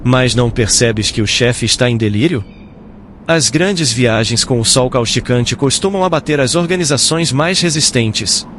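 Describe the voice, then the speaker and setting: A man's voice: mid-range voice, moderate pace, a bit bright, natural voice. Guy acting as an ancient traveller explaining stuff matter-of-factly, audiobook drama, outdoor noise. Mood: insistent. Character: practical male travelling companion in ancient times, puzzled.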